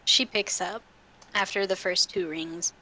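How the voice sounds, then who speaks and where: A woman's voice: lowish voice, steady tone, a little slow, pushed and bright, poor audio. A woman acting as game master, narrating and improvising lines in a tabletop roleplaying game.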